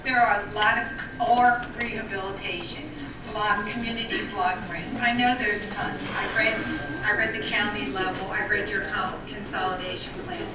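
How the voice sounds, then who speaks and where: A woman giving public comment at a township council meeting, pressing pointed questions with halting restarts and a big voice. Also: medium pitch, fairly even intonation. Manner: says she has a big voice. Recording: echoey room, distant mic, background noise.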